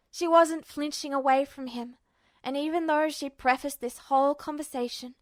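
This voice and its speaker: A woman reading fan fiction aloud as a podfic, in emotive, measured narration. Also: high voice, no room sound. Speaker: podfic reader.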